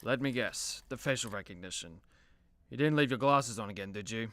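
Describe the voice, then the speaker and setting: A boastful demigod superhero played by a man who loves a drink, in a comedy radio play. He banters bluntly and wearily in a forceful, bright voice of middling pitch. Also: fairly quick, no echo, natural voice. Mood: jaded, teasing.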